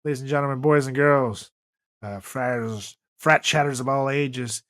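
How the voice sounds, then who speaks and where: A man's voice: mid-range voice, moderate pace, a bit bright, dry recording, studio quality. A man hosting a comedy podcast with casual, profane banter and theatrical announcer flourishes. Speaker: podcast host.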